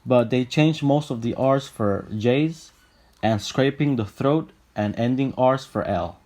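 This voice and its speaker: A young man from Puerto Rico with a Puerto Rican accent, narrating a scripted explainer in a steady, list-like way. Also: medium pitch, measured pace. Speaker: channel host.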